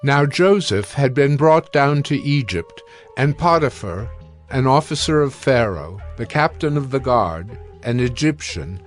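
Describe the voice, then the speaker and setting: A man narrating scripture from a script in a measured, solemn manner. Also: medium pitch, lively intonation, faint music behind.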